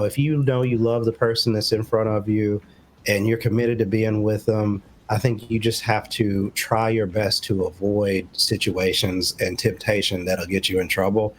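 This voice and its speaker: A man co-hosting a talk show, giving relationship advice in a measured, explanatory way with casual phrasing. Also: fairly low pitch, a little hiss or hum.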